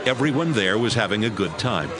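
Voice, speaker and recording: A man's voice: lowish voice, sing-song, a little fast, over music. A man giving scripted narration for a Bible audio drama.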